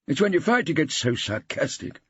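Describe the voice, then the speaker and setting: A man's voice: on the high side, big pitch swings, fairly quick, fairly bright tone, husky, no echo, muffled recording, pitched above the performer's own voice, exaggerated voice. A man voicing a genial, sympathetic English barrister in an audiobook, chatty and emphatic. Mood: friendly, admiring.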